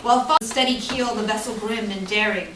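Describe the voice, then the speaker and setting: A woman's voice: medium pitch, a little fast, close to an everyday voice. A woman performing a melodramatic grieving sailor in a hammy dramatic poetry recital. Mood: grand, proclaiming. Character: melodramatic grieving sailor mourning his fallen captain. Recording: echoey, noisy room, distant mic.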